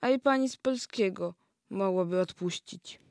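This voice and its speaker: A woman's voice: medium pitch, moderate pace, a little mellow, dry recording, close to an everyday voice. A young female performer playing a curious, candid school pupil in an amateur radio play.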